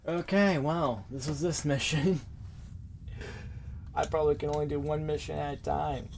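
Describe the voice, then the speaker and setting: A man's voice: fairly high pitch, fairly even intonation, unhurried, gravelly, a little mellow, a little hiss or hum. A young man giving casual let's-play commentary as a gaming host, informal, with muttered asides and exclamations. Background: game music.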